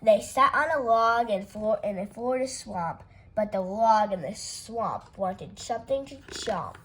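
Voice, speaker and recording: A woman reading a rhyming children's picture book aloud with playful, expressive delivery. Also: mid-range voice, husky. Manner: unhurried, expressive read-aloud with playful character voices. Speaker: storytime reader.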